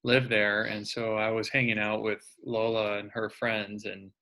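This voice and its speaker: Young male, fairly low but bright, informal, searching for words as he goes. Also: level tone, low-quality recording. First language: English. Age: young adult. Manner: hesitant, halting phrasing with many "like"s. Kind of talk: casual chat. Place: online video call.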